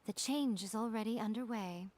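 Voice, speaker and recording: A woman acts a self-assured fox-spirit woman in a video game. Her voice sits fairly high, and she speaks with sly, teasing composure. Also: moderate pace, darkish tone, slight background noise, close to an everyday voice. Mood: smugly knowing.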